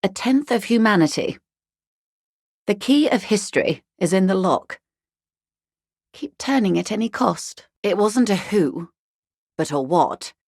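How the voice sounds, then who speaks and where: An actress playing a worldly, genteel corporate negotiator in a video game, speaking in a dry, ironic, measured and articulate way. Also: female voice, mid-range voice, expressive, darkish tone, no room sound. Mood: wry, detached. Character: worldly, wry corporate negotiator woman, coolly detached and genteel. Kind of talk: scripted video game dialogue.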